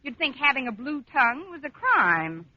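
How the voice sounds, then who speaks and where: A woman playing a wry, quick-witted schoolteacher in a 1940s radio comedy, dry and wisecracking. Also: high voice, sing-song. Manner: dry, wisecracking, crisply articulated. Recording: dull, noisy 1940s radio recording.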